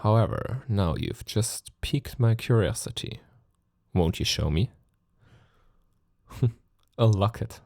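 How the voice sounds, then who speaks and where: Man acting as a deep-voiced, seductive vampire lord. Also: expressive, takes it slowly, gravelly, long pauses, darkish tone, no echo, clean studio sound, heavily acted. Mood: curious, mocking. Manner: silky, theatrical, condescending politeness with lingering phrases. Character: suave, sadistic male vampire lord, mocking and seductive. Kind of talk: intimate ASMR roleplay acting.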